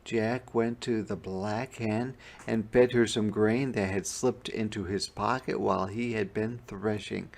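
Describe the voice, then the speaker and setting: A man narrating a children's picture book in a deliberate, expressive storytelling manner. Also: fairly low pitch, gravelly. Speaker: storybook reader. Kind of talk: scripted children's read-aloud narration.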